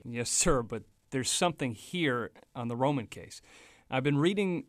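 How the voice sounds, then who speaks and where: An actor playing an earnest, deferential young legal assistant in a radio noir drama, polite and eager. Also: male voice, mid-range voice, expressive, normal speed, no echo, studio quality, close to an everyday voice.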